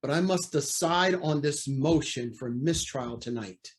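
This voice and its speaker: A man's voice: a little high, a little slow, natural voice. A man playing a troubled, guilt-ridden judge, abrupt and defensive, in old-time radio drama style. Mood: troubled, curt. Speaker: actor in a radio play.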